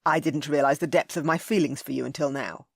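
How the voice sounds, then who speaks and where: A woman's voice: low-pitched, fairly quick, vocal fry, breathy edge, no room sound, clean studio sound, full character voice. A woman voicing a forthright young duke, blunt and earnest.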